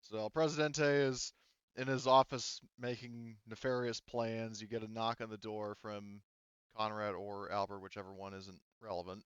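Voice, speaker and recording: A man working as game master of an online tabletop roleplaying game, talking casually and haltingly. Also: on the low side, flat delivery, clear, resonant tone, dry recording, lo-fi sound.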